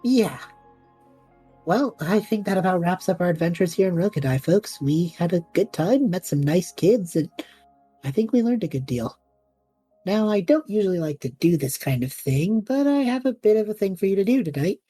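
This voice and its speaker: Kind-hearted, modest young male adventurer for audio drama, played by a woman: low, husky, soft music behind. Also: animated, normal speed. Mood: warm sign-off.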